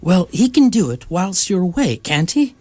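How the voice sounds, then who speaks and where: A woman playing a brisk, insistent, deadpan alien posing as a human friend in a radio comedy, with a husky voice. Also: lowish voice. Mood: urgent, insistent. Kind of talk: radio comedy drama acting.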